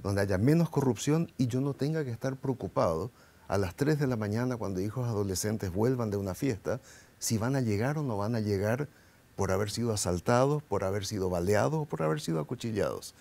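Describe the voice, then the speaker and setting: A man's voice: on the low side, lively intonation, a little fast, creaky, a little mellow. A middle-aged man from Paraguay, a guest in an interview, arguing his political views with passion and many rhetorical questions.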